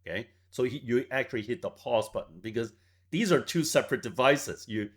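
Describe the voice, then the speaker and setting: A man in his forties who grew up in Hong Kong, a software founder telling stories in a measured way as an interview guest. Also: medium pitch. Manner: measured, tags points with "right?". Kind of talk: reflective storytelling interview.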